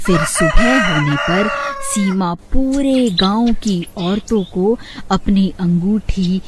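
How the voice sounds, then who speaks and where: A woman's voice: mid-range voice, slow, noisy background. A woman storyteller reading scripted narration for a horror cartoon. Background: background music.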